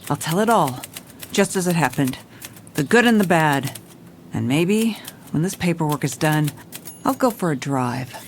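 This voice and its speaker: Low-voiced hard-boiled police commissioner. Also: female voice, a little hiss or hum. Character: world-weary, determined female police commissioner, hard-boiled noir narrator. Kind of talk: scripted noir narration, audio drama.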